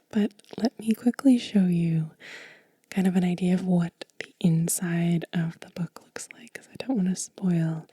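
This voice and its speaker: Breathy, whispering woman, very soft and slow. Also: lowish voice, no room sound. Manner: gentle, unhurried, pausing between items. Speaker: ASMR channel host. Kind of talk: soft-spoken whispered ASMR show and tell. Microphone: close mic in a dry space.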